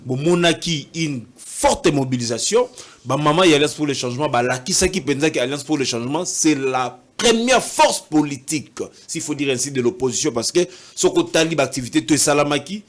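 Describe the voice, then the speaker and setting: A man's voice: on the high side, expressive, a little fast. A Congolese man with a Congolese French accent speaks in an earnest, chatty way, switching easily between French and Lingala. His voice sits slightly above the usual male range. He talks like a party official giving an interview. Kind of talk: casual interview talk.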